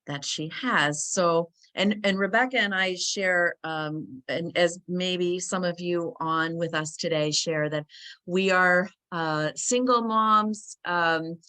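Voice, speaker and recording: A woman with a somewhat low voice hosts a talk show interview. She speaks with enthusiasm and fills her pauses with ums. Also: pushed and bright. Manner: enthusiastic, many fillers. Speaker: host.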